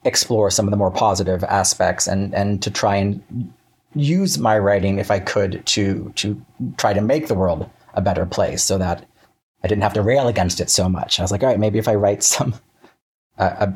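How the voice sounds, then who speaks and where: A man being interviewed as a writer, chatting casually in a wry, halting way with self-interruptions and acted-out asides. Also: on the low side, steady tone, a little mellow, slightly rough.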